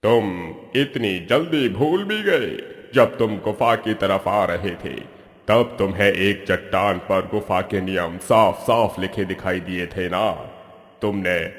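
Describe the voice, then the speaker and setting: A man voicing a stern, punishing supernatural sky voice with slow, deliberate pronouncements in a cartoon story. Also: sits low, in a large hall. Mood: stern, menacing.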